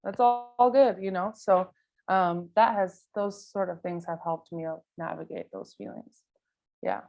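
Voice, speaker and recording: A woman's voice: on the low side, steady tone, unhurried, creaky. Woman chatting casually, slightly dull lo-fi audio. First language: not Spanish; Spanish is second language. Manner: informal, thinking aloud, frequent "like" and "you know". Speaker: guest photographer giving an artist talk. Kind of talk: casual conversational interview answers.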